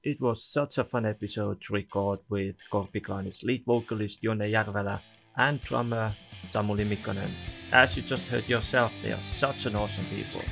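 A man's voice: fairly low pitch, steady tone, normal speed, vocal fry, a bit bright, soft background music. A man with an Estonian accent hosting a podcast interview, chatty and leaning on "you know" as he talks. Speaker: podcast host. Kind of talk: casual interview chat.

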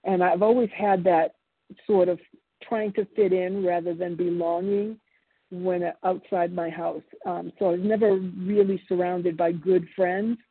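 A woman's voice: fairly low pitch, fairly even intonation, husky, dry recording. Older woman telling her story by phone. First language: Italian. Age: 70s. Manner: halting, many fillers and restarts. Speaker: meeting speaker sharing her story. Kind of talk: personal testimony at a recovery meeting. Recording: phone-line audio.

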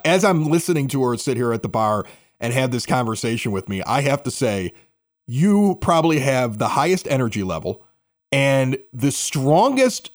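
A man hosting a local podcast with an animated radio-announcer delivery. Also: mid-range voice. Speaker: podcast host. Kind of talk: scripted local news reads and casual bar chat. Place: at a bar.

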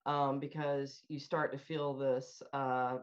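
A woman's voice: rumbling low, little pitch movement, takes it slowly, dull audio. A middle-aged woman chatting casually and candidly as a panel guest on a call. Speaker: panel guest working in healthcare.

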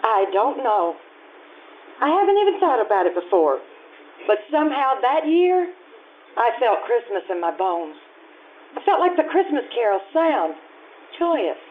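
A woman's voice: on the high side, sing-song, a little slow, pauses often, slight background noise. A woman acting in an old-time radio drama, playing a wistful grown woman who fondly and earnestly recalls her Depression childhood. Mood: fond, musing. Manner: reminiscing, earnest, gently storytelling. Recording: tinny narrow-band radio-play recording with music.

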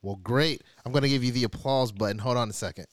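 A man's voice: medium pitch, quick pace, dry recording, clean studio sound. A man in his thirties co-hosting a podcast, teasing and joking, swearing casually. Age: 30s. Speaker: podcast co-host.

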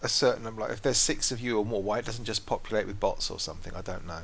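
A man's voice: lowish voice, rapid-fire, creaky, a bit bright, slightly rough. A man co-hosting a casual gaming podcast, talking in an easygoing, bantering way. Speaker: podcast co-host. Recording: dull lo-fi audio with faint hiss.

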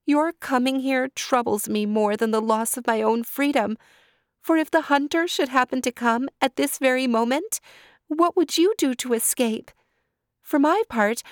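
A woman voicing a high, anxious deer. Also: measured pace, no echo, clean studio sound. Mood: pleading. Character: gentle, worried female deer.

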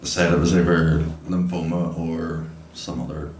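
A man's voice: extremely low pitch, flat delivery, normal speed, darkish tone, recorded from a distance. A man, a podcast guest telling his story in a plain, matter-of-fact way. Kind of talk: casual chat. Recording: echoey room, off-mic, faint noise.

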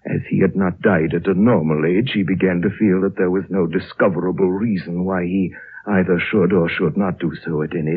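An actor playing an ancient, serene and mysterious priest in a 1930s radio drama, speaking slowly and solemnly in a hushed, breathy voice. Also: male voice, low-pitched. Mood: measured, wondering. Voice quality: husky, breathy. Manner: slow, solemn, hushed storytelling with long pauses. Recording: muffled, narrow-band old 1930s radio recording.

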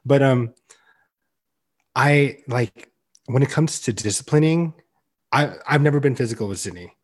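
A young man on a podcast, talking earnestly and loudly in a casual chat, with many "like"s. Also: mid-range voice, measured pace, slightly rough. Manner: loud, earnest, heavy use of "like". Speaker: podcast co-host.

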